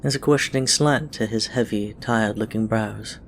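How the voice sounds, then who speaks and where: A man's voice: lowish voice, normal speed. A man reading a scripted story aloud as an audiobook-style narrator. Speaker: audiobook-style reader of fan fiction. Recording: clear background noise.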